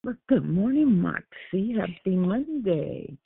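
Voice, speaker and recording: A woman hosting a prayer conference call, greeting each caller with repeated good mornings and affectionate names. Her voice can get a little raspy. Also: mid-range voice, sing-song, slow pace. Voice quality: gets raspy. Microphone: telephone. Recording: tinny phone line.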